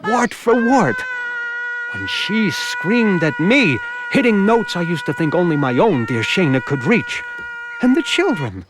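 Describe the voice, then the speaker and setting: An actor playing a naive, philosophical village simpleton telling a cartoon folk tale, in folksy, musing asides. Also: male voice, high voice, wildly swooping pitch, unhurried, noisy background, heavily acted. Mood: marvelling. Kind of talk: comic folk-tale narration for animated short. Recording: music behind the voice. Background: music.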